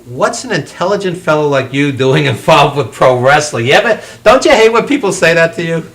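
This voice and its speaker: A man's voice: fairly high pitch, very animated, fairly quick, a bit bright. Playful male co-host. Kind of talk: casual talk-show interview.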